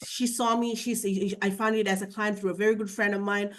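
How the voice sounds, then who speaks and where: A woman's voice: medium pitch, flat delivery, brisk. A woman from Fiji, whose first language is Fijian, speaking as co-host of a business presentation over a video call. She talks in a chatty way with many fillers.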